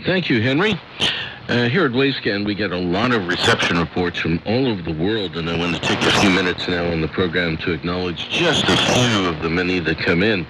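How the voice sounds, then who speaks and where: A man's voice: sits low, expressive, a little fast. A man hosting a radio program, informally reading out listener reports and hesitating over his notes. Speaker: radio host. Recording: noisy shortwave radio reception.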